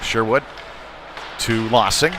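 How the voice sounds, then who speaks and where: Male hockey play-by-play commentator, mid-pitched, conversational and halting, recorded live with noticeable arena noise. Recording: noisy live arena sound. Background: rink noise and ambience.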